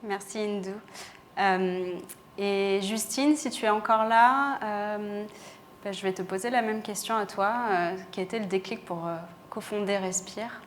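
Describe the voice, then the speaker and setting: A woman's voice: mid-range voice, measured pace, a little hiss or hum. A woman moderating a panel, passing the floor in a friendly, informal way.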